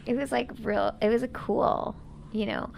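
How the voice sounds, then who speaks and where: A woman improvising casually as a player in a tabletop roleplaying game, speaking in a halting, repetitive, slangy way with lots of "like". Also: a little high, fairly even intonation, a little mellow, a little hiss or hum. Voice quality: creaky. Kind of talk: casual in-character improvised chat.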